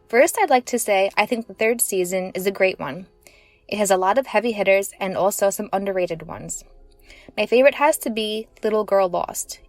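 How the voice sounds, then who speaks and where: A woman's voice: medium pitch, no room sound. A woman giving a prepared personal commentary as a listener contributing to a podcast.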